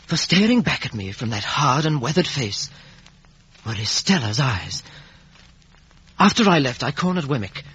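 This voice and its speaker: An actor playing an earnest, lovelorn young gentleman in a 1950s radio drama. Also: mid-range voice, big pitch swings, normal speed, long pauses, breathy edge, heavily acted. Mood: grave narration. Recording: muffled, dull vintage radio recording.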